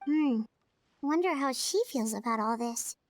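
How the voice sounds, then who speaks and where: A woman's voice: fairly high pitch, measured pace, natural voice. A woman voicing a small, childlike, earnest female character in a video game. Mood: curious. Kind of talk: video game story voice acting.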